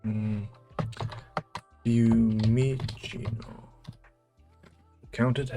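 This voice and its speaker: A man's voice: lowish voice, mellow. A North American man playing a geography quiz, thinking aloud casually and muttering questions to himself hesitantly, with music and typing behind him. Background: music, computer keyboard typing.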